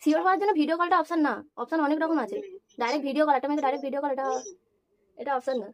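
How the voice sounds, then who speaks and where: Young female prank caller, high voice, very fast casual delivery. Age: young adult. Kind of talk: casual prank call chat.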